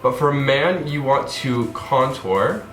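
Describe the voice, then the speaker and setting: A man's voice: mid-range voice, expressive, slow pace, fairly bright tone, faint music behind. A young man with a stuffed-up nose from a cold, chatting casually through a makeup tutorial with lots of fillers. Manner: chatty, rambling, many fillers. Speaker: makeup vlogger. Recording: roomy echo.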